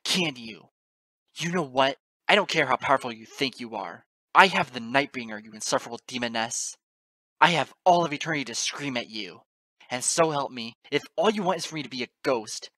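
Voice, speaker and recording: A man voicing a furious, desperate, defiant pony hero in a fantasy story, shouting, snarling and ranting. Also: mid-range voice, dry recording, pitched above the performer's own voice. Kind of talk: dramatic fantasy story reading.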